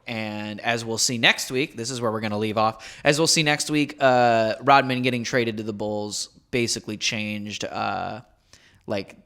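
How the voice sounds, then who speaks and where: A man's voice: fairly low pitch, sharp tone. Laid-back man recapping a story. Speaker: podcast co-host telling the story. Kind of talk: casual podcast chat with storytelling.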